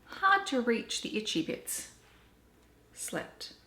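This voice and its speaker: An Australian woman reading children's storybooks aloud in an animated, deliberate storytelling way. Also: fairly high pitch. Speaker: children's story reader and book vlogger. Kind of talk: expressive storybook read-aloud. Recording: roomy, echoey home recording.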